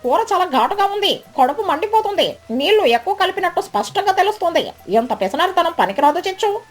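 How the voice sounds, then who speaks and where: Woman doing a shrill, plaintive cartoon duck. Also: sing-song, fast. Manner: plaintive, scolding. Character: upset, complaining duck in a children's cartoon. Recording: background music bed.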